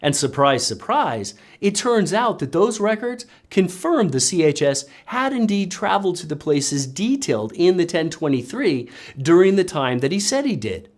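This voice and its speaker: Animated narrator with pointed emphasis. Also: mid-range voice, moderate pace. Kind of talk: scripted political commentary narration.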